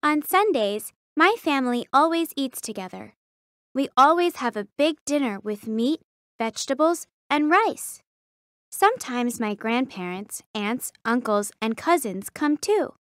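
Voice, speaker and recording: A woman playing a friendly young schoolgirl, reading scripted textbook lines carefully and clearly. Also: sits high, animated, a little slow, fairly bright tone, no room sound, studio quality. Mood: cheerful. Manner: careful, clearly enunciated, simple sentences. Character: friendly young Vietnamese schoolgirl describing family meals.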